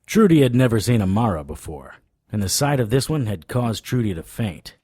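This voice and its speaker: A man's voice: on the low side, very animated, normal speed, dark tone, dry recording, studio quality. An actor playing a cocky, wisecracking paranormal investigator in an audio drama, sarcastic and flippant as he talks and narrates. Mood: dry storytelling. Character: wisecracking, cocky male supernatural investigator narrating his case. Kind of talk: audio drama, first-person noir-style narration and dialogue.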